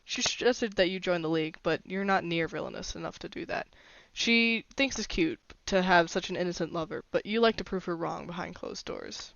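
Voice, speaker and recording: Creaky-voiced woman narrating fan fiction. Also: on the low side, a little fast, a bit bright, no room sound, dull audio. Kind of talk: scripted story narration.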